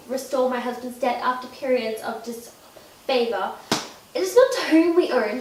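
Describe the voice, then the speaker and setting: A woman playing a vain, giddy, petulant young Regency wife in a theatrical monologue, with affected, genteel, dramatic delivery. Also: high-pitched. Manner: affected, dramatic, genteel old-fashioned phrasing. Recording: echoey room, distant mic, faint noise.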